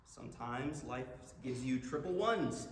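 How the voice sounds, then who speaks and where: A man's voice: mid-range voice, animated, unhurried, natural voice. A young man playing an earnest, argumentative college student game master in a staged play reading. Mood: earnest, defensive. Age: young adult. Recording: echoey hall, long reverb, background noise.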